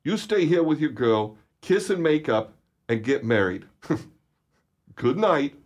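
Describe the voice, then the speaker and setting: An actor playing a wry, paternal police officer in an old-time radio comedy. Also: male voice, on the high side, very animated, slow, exaggerated voice. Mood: fatherly, amused. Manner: wry, avuncular authority.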